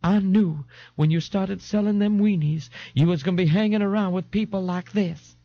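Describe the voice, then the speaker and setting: A man's voice: high-pitched, moderate pace, no room sound, dull audio, pitched above the performer's own voice. A man voicing an anxious working-class mother of a grown son, plaintive, folksy and scolding, in an audiobook. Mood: tearful scolding. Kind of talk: audiobook character voice.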